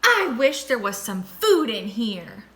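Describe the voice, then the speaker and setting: A woman voicing a bold young male monkey in a read-aloud picture book, speaking loud and blunt. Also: fairly high pitch, very animated, slow pace, exaggerated voice.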